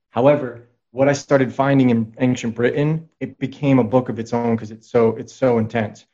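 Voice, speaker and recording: A man's voice: mid-range voice, darkish tone, highs cut off. A man talking casually as a guest on a podcast, rambling with frequent restarts and reading out quotes. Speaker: guest researcher and author.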